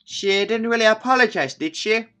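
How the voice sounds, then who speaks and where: Man putting on a high, stubborn upset teenage girl. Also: lively intonation, fairly quick, bright, forceful tone, clear, resonant tone. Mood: hurt, accusing. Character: upset teenage girl, stubborn, grudge-holding childhood friend.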